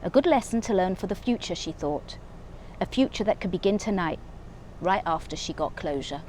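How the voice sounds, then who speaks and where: A woman librarian reading a short story aloud from home in a calm, low-key way. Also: normal speed, slight background noise, low-quality recording.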